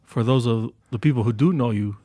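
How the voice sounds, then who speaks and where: A man hosting a casual interview, asking relaxed, rambling questions. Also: mid-range voice, brisk, dry recording, studio quality. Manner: relaxed, filler-heavy questions.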